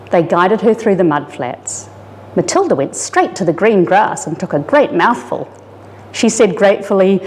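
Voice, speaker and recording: A woman reads a children's picture book aloud in a dramatic, animated storytelling manner. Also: fairly low pitch, slight background noise. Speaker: story reader, disaster resilience officer. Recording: reverberant hall-like room.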